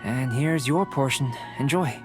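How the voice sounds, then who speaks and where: A man voicing a calm, curious young alchemist scholar in an anime video game, measured, polite and detached. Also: medium pitch, natural voice. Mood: polite, reassuring. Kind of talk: anime video game English dub acting. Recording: studio voice over game music.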